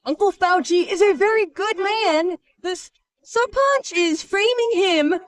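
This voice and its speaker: Woman as a very high-pitched distressed little girl. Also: unhurried, dry recording, clean studio sound, distant mic. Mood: distressed protest. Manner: pleading, emphatic. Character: brave, distressed young village girl, fiercely loyal. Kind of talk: animated moral story acting.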